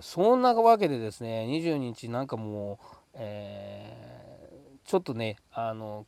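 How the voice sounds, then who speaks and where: A man hosting a casual solo talk show, speaking politely with drawn-out hesitations between phrases in a dry, close room. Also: on the low side, darkish tone, no echo. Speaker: podcast host.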